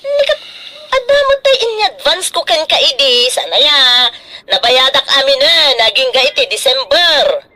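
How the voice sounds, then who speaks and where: An actress with an Ilocano accent playing a greedy, scheming, bossy daughter in a radio drama, pushy and exclamatory. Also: female voice, high voice, big pitch swings, unhurried, sharp tone, exaggerated voice. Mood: indignant, defensive.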